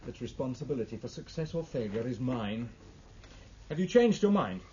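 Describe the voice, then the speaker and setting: An actor playing an anxious, testy British naval captain in a 1950s radio drama, speaking in a formal, challenging manner. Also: male voice, medium pitch, very animated, normal speed, a little mellow, close to an everyday voice. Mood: testy, defensive. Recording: muffled, noisy vintage radio recording.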